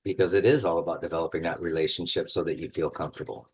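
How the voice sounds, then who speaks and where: A man's voice: deep voice, fairly even intonation, rapid-fire, slight background noise. A man who teaches at a university, speaking conversationally in a panel discussion, thoughtful and full of fillers. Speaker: university instructor.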